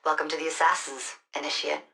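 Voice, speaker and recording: A woman's voice: low voice, level tone, fast, creaky, fairly bright tone. A woman playing a businesslike mission handler in a video game, briefing a recruit in a direct, conversational way. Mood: calm, businesslike. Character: cool, businesslike female handler briefing a secret agent recruit. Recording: distant voice in noisy ambience.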